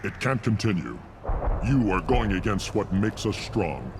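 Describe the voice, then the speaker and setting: Low, scornful alien traditionalist. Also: male voice, sing-song, unhurried, background noise. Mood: scornful, indignant. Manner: indignant, contemptuous. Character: scornful, hidebound alien traditionalist clan rival. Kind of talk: video game character acting.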